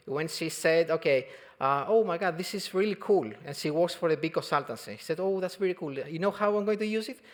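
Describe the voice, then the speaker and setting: Relaxed man at a conference talk. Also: on the high side. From Albania, grew up in Greece. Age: 30s. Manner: relaxed, halting, many fillers and restarts. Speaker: conference speaker. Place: conference hall.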